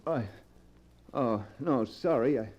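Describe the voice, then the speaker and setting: An actor playing a desperate, bitter American locksmith in a 1940s radio melodrama, with an urgent, halting delivery. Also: male voice, mid-range voice, a little mellow, close to an everyday voice. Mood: startled, resigned. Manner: urgent, halting when cornered. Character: desperate, bitter working-man locksmith husband. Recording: dull old radio recording.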